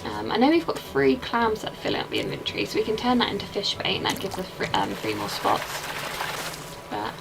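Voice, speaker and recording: A woman's voice: medium pitch, huge pitch swings, fairly quick, gravelly, noisy background. A young woman with an English accent, chatting casually and rambling while she plays a game and answers her chat. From England. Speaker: streamer.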